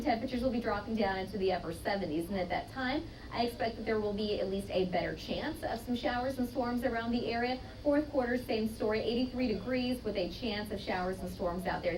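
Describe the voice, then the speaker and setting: A woman with an American accent presenting a live television weather forecast. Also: fairly high pitch. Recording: clearly noisy, distant broadcast control-room feed.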